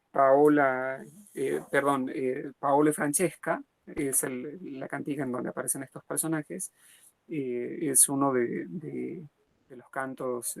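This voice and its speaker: Halting, slow-spoken male lecturer. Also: medium pitch, creaky, fairly bright tone, no room sound, rough recording. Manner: hesitant, many pauses and fillers; slow and deliberate. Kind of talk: academic lecture with a reading.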